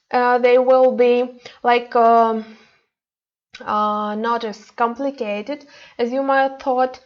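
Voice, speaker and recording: Young woman, Ukrainian accent, language teacher, hesitant with fillers, slow pace, dull webcam sound. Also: fairly high pitch. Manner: hesitant, many fillers and restarts. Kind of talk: online course introduction. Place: indoors, webcam inset beside slides.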